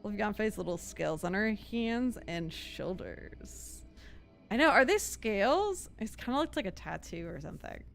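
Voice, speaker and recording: A woman chats casually on a live game stream. Her delivery is lively and very varied in pitch, giggly and talkative as she thinks out loud. Faint music plays behind her. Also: normal speed, gravelly. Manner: giggly, chatty, thinking aloud.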